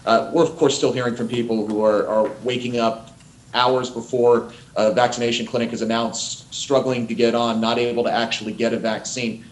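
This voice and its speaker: A man's voice: medium pitch, flat delivery, normal speed. American male reporter in a roomy space. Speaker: TV news reporter. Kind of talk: asking questions at a virtual press conference. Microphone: video call.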